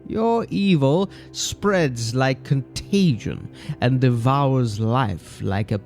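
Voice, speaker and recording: A man playing a stern, accusing friar in a medieval horror story, speaking formally and deliberately. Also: mid-range voice, expressive, a little mellow, soft background music. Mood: coldly accusing. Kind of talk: dramatic audio horror story.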